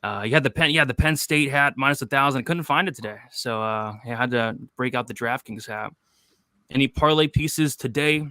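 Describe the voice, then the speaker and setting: Bright, clear-voiced young man hosting. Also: mid-range voice, moderate pace, no echo. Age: 20s. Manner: loose, jokey, reads chat questions aloud. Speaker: host of a betting show. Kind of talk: casual livestream chat.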